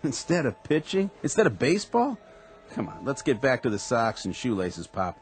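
An actor playing a down-on-his-luck former pitcher in a radio drama, humble and eager. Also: big pitch swings, moderate pace, close to an everyday voice. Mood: plain. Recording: radio play with music underneath.

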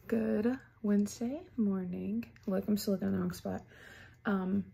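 Tired young woman vlogging, creaky voice. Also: medium pitch, fairly even intonation, warm tone. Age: young adult. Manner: tired, unhurried, rambling. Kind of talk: casual chat to camera. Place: home kitchen, indoors. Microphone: phone front camera at arm's length.

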